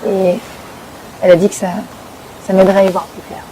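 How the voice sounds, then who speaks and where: A woman's voice: mid-range voice, monotone, brisk, dark tone, a little hiss or hum, voice pushed down, close to an everyday voice, rough recording. A woman playing a woman who earnestly talks about having been married to a narcissist. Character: woman once married to a narcissist, reading on her therapist's advice.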